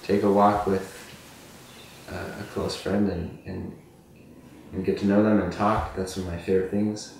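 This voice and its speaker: A man answering casually in an interview, halting and chaining his thoughts with repeated linking words. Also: low-pitched, level tone, vocal fry, darkish tone. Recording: very echoey, distant mic, faint noise.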